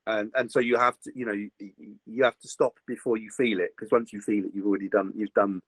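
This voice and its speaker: An older man, a voice actor chatting in an interview, slightly gruff, rambling with many fillers and restarts. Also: lowish voice, fairly even intonation, a little fast, no echo. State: slightly gruff after a recent virus. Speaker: voice actor guest. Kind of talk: casual interview chat.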